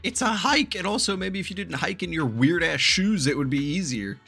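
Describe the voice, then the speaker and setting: A man giving casual, animated gameplay commentary as a streamer, swearing freely as he reacts. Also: high voice, a little fast, vocal fry, breathy edge, faint music behind. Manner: animated, profane, reads game dialogue aloud.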